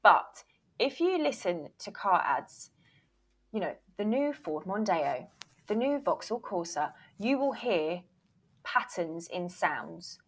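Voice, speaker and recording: A woman's voice: on the low side, big pitch swings, takes it slowly. A woman giving advice as an interview guest in casual talk, encouraging and emphatic. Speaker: interview guest, voiceover reel producer and former agent.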